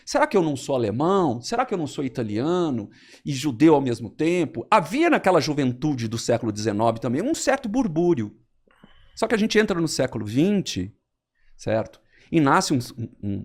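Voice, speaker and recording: A Brazilian man on a podcast, explaining history in a lively storytelling way and acting out quotes. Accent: Brazilian Portuguese. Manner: lively storyteller, animated swings in pitch, acts out quotes.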